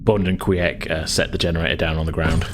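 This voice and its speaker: A man's voice: rumbling low, little pitch movement, quick pace, noisy background. A man working as game master, narrating a tabletop sci-fi adventure in a hesitant, conversational, descriptive way.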